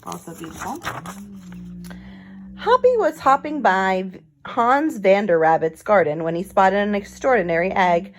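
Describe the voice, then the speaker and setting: A woman's voice: medium pitch, animated, a little slow, poor audio. A woman narrating a children's storybook, with casual asides that explain things to young listeners. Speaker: picture book read-aloud reader.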